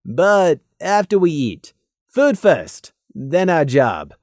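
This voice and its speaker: Guy doing a stern pony guard stallion for a fantasy audiobook, clipped n commanding, swingy pitch, dry recording. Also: sing-song, slow, darkish tone, no room sound. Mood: firm. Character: Gloom, gruff stern male pegasus guard stallion, dutiful.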